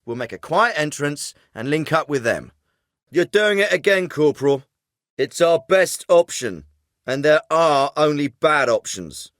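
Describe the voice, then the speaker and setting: A man playing a brisk, stern special forces captain in a video game, giving clipped, authoritative orders with dry sarcasm, sometimes through a radio filter. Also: on the high side, animated, a bit bright, no room sound. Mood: dry, measured. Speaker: military squad commander. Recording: radio-filtered, band-limited clips with faint static.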